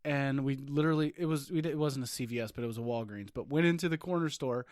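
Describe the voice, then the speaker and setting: A man's voice: mid-range voice, fast, darkish tone, no room sound, studio quality. A man co-hosting a podcast in casual chat, joking and swearing often with frequent laughter. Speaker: podcast co-host.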